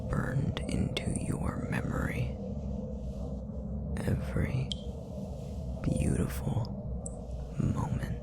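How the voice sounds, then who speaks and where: A man voicing a possessive, manipulative demon in an intimate ASMR roleplay, whispering with a gravelly fry in a deliberate, taunting way. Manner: slow, deliberate, taunting, condescending. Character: possessive, manipulative male puppetry demon, coldly menacing.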